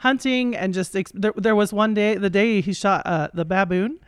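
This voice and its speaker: A woman's voice: medium pitch, normal speed, smooth, no room sound, clean studio sound. A middle-aged American woman telling stories casually as a podcast guest, chatty and acting out bits of dialogue. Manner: chatty, acts out quoted dialogue. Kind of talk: casual conversational storytelling.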